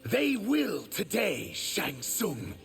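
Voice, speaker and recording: An actor playing an earnest, wounded young reptilian shapeshifter warrior in a video game, speaking with guarded defiance. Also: male voice, high voice, huge pitch swings, very slow, gravelly, over music, exaggerated voice. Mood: bitter, defiant. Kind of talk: video game fight dialogue.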